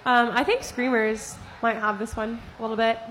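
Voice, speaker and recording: A woman's voice: fairly high pitch, level tone, normal speed, fairly bright tone, a little hiss or hum, low-quality recording. Joking young woman on a podcast. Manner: bawdy, joking, hesitant. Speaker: podcast panelist. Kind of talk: casual podcast chat.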